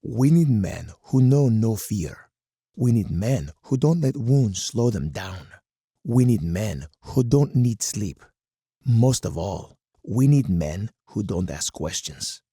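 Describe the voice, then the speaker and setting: A menacing video game colonel with a very low, gravelly voice. He is a hardened strategist who speaks in stern, deliberate speeches, with a dark, husky tone. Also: expressive, dry recording, clean studio sound, full character voice. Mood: intense resolve. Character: hard, commanding older male military colonel, menacing strategist. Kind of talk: dramatic scripted game character monologue.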